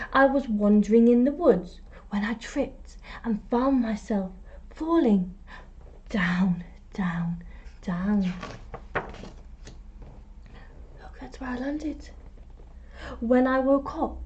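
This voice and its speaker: Female primary-style storytime reader, higher-pitched and very unhurried, expressive delivery for young children, on a slightly dull recording. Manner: expressive storytelling with deliberate pauses and emphasis; prompts young listeners with questions. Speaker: teacher reading a picture book to children. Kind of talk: storytime read-aloud.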